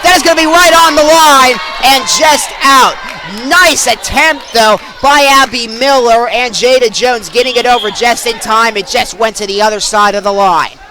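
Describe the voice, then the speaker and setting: Young male sportscaster, high-pitched, excitable live play-by-play delivery over a noisy gym crowd. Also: normal speed, few pauses. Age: young adult. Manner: excitable, projecting over the action. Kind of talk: live volleyball play-by-play commentary. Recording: crowd and gym noise behind.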